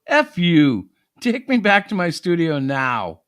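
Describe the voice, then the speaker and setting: A man's voice: on the high side, very animated, slow pace, fairly bright tone, clear, resonant tone, no room sound, studio quality, exaggerated voice. A man playing a defensive, foul-mouthed screenwriter who rants indignantly in a dramatic story reading. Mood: outraged. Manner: ranting, sweary, indignant. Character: defensive, foul-mouthed hotshot male screenwriter, easily outraged.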